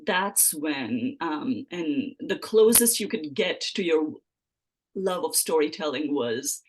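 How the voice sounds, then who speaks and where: A woman's voice: deep voice, lively intonation, slow, a little hiss or hum, low-quality recording. A woman from Mumbai with an Indian English accent, a novelist answering interview questions at length, often restarting her phrases with many ums. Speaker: novelist guest.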